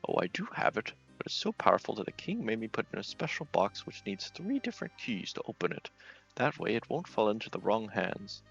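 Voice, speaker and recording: A man's voice: on the low side, very animated, fairly quick, vocal fry, fairly bright tone, slightly rough, muffled recording, faint music behind, exaggerated voice. A man voicing an aging, bumbling, sceptical knight with a blustering, hesitant manner.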